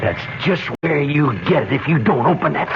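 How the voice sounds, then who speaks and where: A cornered, boastful street killer in a vintage radio thriller. His voice sits fairly high and swoops about as he bullies in slangy threats. The old recording is muffled, with clear background noise. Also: lively intonation, moderate pace. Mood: menacing. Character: cornered young thug killer, jumpy, bullying, boastful. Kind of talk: old-time radio drama acting. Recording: dull, noisy old radio broadcast recording.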